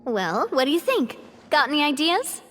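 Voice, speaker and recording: A woman's voice: sits high, normal speed, soft background music. A woman playing a confident, cheerful and gracious young lady boss in a video game, speaking in an encouraging way. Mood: thoughtful. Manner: bright, encouraging, animated.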